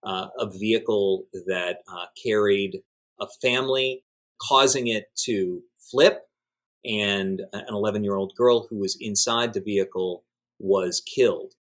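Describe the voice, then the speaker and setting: A man giving a measured, somewhat halting news recap as a podcast commentator. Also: lowish voice. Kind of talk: news recap in conversation with a host.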